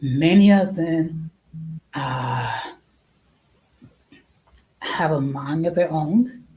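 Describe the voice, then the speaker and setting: A woman speaks as the host of an informal talk, in a slightly low, relaxed voice. She moves very slowly and deliberately, halting often and repeating phrases to press her point. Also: poor audio. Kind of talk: informal opinion talk to an audience.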